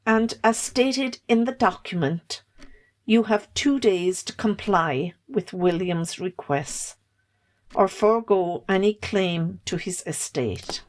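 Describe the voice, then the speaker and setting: A woman acting a stern, businesslike solicitor in an amateur drama, formal and measured. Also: medium pitch, natural voice. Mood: firm.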